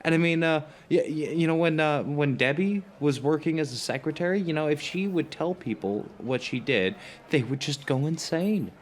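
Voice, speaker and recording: A man playing an anxious, self-deprecating dinner guest in an audio drama, talking in a halting, stammering, rambling way. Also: on the high side, close to an everyday voice. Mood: self-pitying, wry. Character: anxious, self-deprecating New Yorker who loves comfort. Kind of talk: naturalistic audio drama dialogue.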